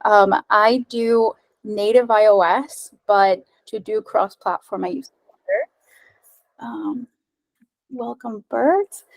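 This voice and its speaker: A woman's voice: a little high, slow pace, creaky, pauses often, no echo, poor audio. A woman in her thirties whose first language is Spanish, giving a casual, friendly tech meetup talk over slides on a video call, with frequent ums and uhs. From United States. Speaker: meetup speaker, mobile developer. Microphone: webcam on a video call.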